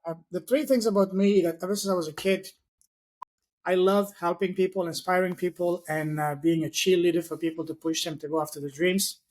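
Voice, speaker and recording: A man's voice: high-pitched, steady tone, brisk. Guy from france, non-native english, earnest storyteller, lots of uhs, call audio. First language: not English. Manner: earnest storytelling with many "uh" fillers. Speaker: guest. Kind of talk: online interview. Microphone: video call.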